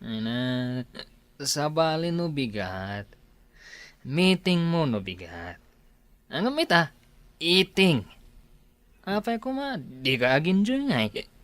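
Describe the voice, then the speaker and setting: A man's voice: a little high, very animated, slow, pauses often, fairly bright tone, dry recording, heavily acted. A man with a Filipino accent playing a jokey, drink-loving young bachelor in a village comedy radio drama, playful and exclamatory. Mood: playful, cajoling.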